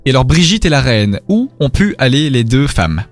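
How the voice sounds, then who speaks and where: A man's voice: medium pitch, very animated, moderate pace. A man with a Belgian French accent hosting a casual, joking news chat with co-hosts in a radio studio. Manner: joking, teasing. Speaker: radio show host. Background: music under the talk.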